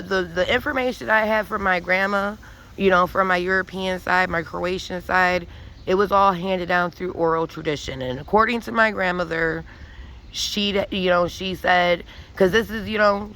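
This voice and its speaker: A woman's voice: lowish voice, fairly even intonation, normal speed, bright, forceful tone, slight background noise, poor audio. A woman giving an emphatic, profane spiritual monologue with many restarts, rambling and preachy. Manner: intense and preachy. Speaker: vlogger.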